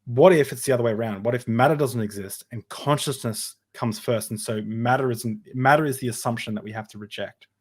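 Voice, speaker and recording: A man's voice: mid-range voice, brisk, few pauses. A man hosting a podcast, talking casually with many restarts and jokes. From Australia. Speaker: podcast host. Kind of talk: casual conversation over drinks.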